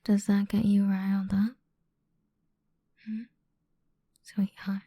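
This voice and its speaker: Woman acting a breathy, whispering sadistic female boss. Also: mid-range voice, monotone, dark tone, no echo. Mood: commanding, taunting. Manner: slow, taunting, condescending. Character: sadistic, domineering female boss, teasing and contemptuous. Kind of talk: ASMR roleplay, whispered.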